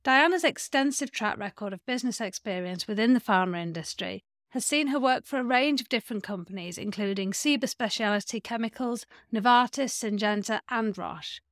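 Upbeat podcast host woman, higher voice. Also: normal speed, few pauses, a bit bright, no room sound, studio quality. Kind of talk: podcast interview, scripted intro.

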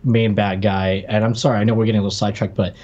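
A man's voice: on the low side, flat delivery, racing, a little mellow. A man co-hosting a podcast, chatting casually and informally as he reviews a television show. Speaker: podcast co-host. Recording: dull lo-fi microphone sound.

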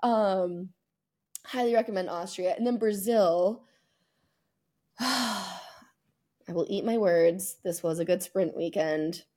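A woman's voice: medium pitch, takes it slowly, long pauses, a little mellow. A woman co-hosting a podcast in casual sports chat, speaking in an emphatic, opinionated way full of hyperbole. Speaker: podcast co-host.

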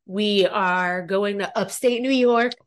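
A woman's voice: mid-range voice, slow. A woman in her forties chatting casually at home as a podcast guest, with easy laughter and the odd casual swear word. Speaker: podcast guest, host's fiancée. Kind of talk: casual chat. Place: home living room.

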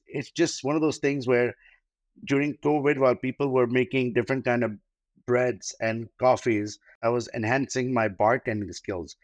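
A man's voice: mid-range voice, no room sound. A man, a luxury wedding planner, answering interview questions in a thoughtful way, with fillers and restarts. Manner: thoughtful, with many fillers and repeated starts. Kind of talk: podcast interview.

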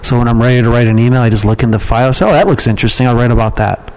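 A man's voice: mid-range voice, rapid-fire, darkish tone, poor audio. Plain-spoken man chatting casually in an interview. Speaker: podcast guest, email marketer and copywriting trainer.

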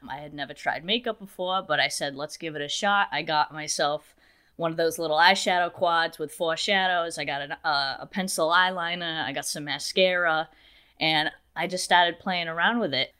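Young woman guest, notably low voice, chatty storyteller who laughs often. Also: normal speed, sharp tone, smooth. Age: 20s. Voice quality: deep voice. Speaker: guest, makeup artist. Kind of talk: casual interview chat.

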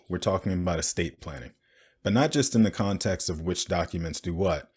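A man with a very low voice hosts a finance podcast. He opens with a steady, explanatory read and then moves into easy conversation with his guest. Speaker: podcast host. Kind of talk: scripted intro then conversational interview.

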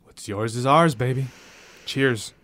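A man's voice: mid-range voice, slow, fairly bright tone. A man playing a pushy, ambitious newspaper reporter in a radio drama, smug and bossy. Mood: cajoling.

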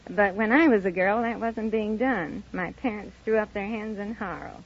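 A woman's voice: mid-range voice, moderate pace, lo-fi sound. A woman chatting as a contestant on a vintage radio quiz show. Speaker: quiz contestant, bird doctor. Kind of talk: unscripted chat with a host. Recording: noisy old radio recording before audience.

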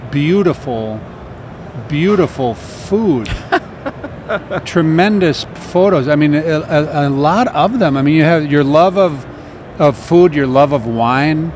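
A man's voice: fairly high pitch, background noise. Enthusiastic man hosting a chat. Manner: enthusiastic, complimentary. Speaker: podcast host. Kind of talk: casual interview chat.

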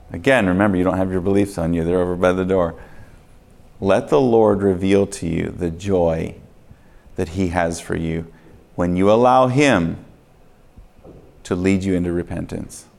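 A man preaching in a church, earnest and swinging between quiet passages and emphatic ones. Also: deep voice, vocal fry. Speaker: pastor. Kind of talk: sermon. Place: church sanctuary.

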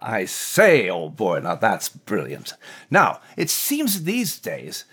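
Man playing a genteel, animated scholarly mouse. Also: fairly low pitch, takes it slowly, breathy edge, heavily acted. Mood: musing, animated. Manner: genteel, thoughtful, old-fashioned erudite phrasing. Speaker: co-host in character. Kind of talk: conversational devotional discussion in character.

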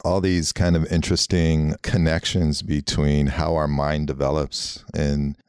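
A man from Los Angeles, a native Armenian speaker, talks in an extremely low, gravelly register that stays smooth and resonant. He reflects on his work as an artist and architect in a loose, meandering interview style, leaning on fillers. The recording is close and dry, with no room sound. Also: level tone, a little slow, darkish tone, no room sound. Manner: musing, meandering, many "you know" fillers.

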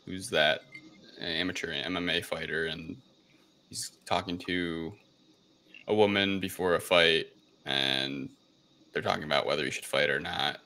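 A man's voice: extremely low pitch, unhurried, pauses often, bright, forceful tone. A man hosting a podcast, casually talking through a movie review. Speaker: podcast host.

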